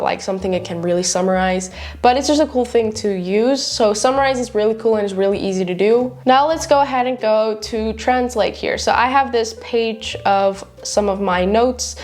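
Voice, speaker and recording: A woman's voice: medium pitch, normal speed, darkish tone. A woman in her twenties, a native Afrikaans speaker, giving a chatty, casual screen tutorial from her home office desk. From South Africa. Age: 20s. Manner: chatty, filler-heavy walkthrough. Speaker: YouTuber.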